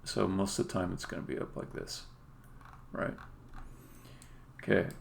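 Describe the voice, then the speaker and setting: A man giving a casual live-stream demo as a game developer, halting and muttering to himself as he works. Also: low-pitched, level tone, creaky. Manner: hesitant, halting, muttering to himself while working. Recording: noisy room, keyboard and mouse clicks.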